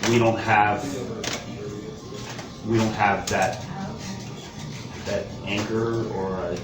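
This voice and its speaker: A man leading an informal committee discussion, halting a little as he thinks aloud. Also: on the low side, little pitch movement, music in the background. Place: indoor room at a public meeting. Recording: echoey room, distant mic, heavy background noise.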